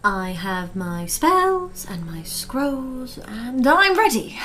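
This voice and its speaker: A woman's voice: on the high side, big pitch swings, takes it slowly, bright, forceful tone, exaggerated voice. A woman playing a wry, sceptical spellcasting adventurer in a fantasy audio drama. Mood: wry, teasing. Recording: music behind the voice.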